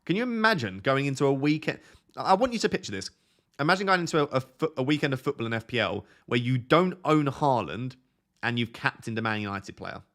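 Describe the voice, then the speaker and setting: A young man hosting a fantasy football live stream, reading viewer questions aloud and answering them in a relaxed, soothing voice. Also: medium pitch, brisk. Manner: self-described relaxing, soothing voice. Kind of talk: casual live stream chat.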